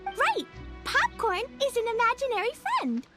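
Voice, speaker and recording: A woman voicing a cheerful, bubbly, excitable little girl who plays at being a witch in a video game. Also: very high-pitched, normal speed, full character voice. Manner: bubbly, childlike, exclamatory. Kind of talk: video game character acting. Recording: game music behind the voice.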